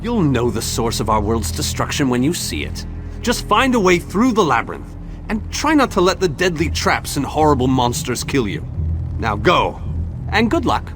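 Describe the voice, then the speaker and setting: A man's voice: medium pitch, big pitch swings, normal speed, music in the background, lightly acted. A man acting an earnest ally in a fantasy game who briefs a friend on a mission in an encouraging way. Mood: earnest, urgent. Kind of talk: scripted fantasy game dialogue.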